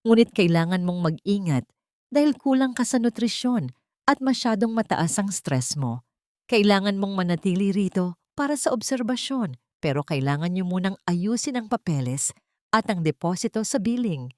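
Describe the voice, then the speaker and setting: A woman storyteller voicing a weary hospital doctor who speaks in a calm, measured, cautioning way. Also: mid-range voice, no echo, clean studio sound, close to an everyday voice.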